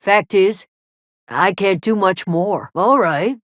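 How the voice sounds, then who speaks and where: A woman playing a gruff, guarded frontier settler who watches over a camp, terse and plain-spoken, in a video game. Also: mid-range voice, expressive, slow, dry recording, clean studio sound. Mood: troubled, then reserved gratitude. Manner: terse, wary, plain-spoken with folksy drawn phrasing. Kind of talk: video game character dialogue.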